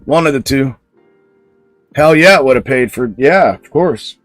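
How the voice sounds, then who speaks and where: A man hosting a live stock trading stream, talking with a loud speaking voice and answering viewers bluntly. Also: medium pitch, expressive, a little slow, no room sound, faint music behind. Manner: blunt, answers chat comments directly. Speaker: stock trading livestream host. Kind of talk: casual livestream commentary.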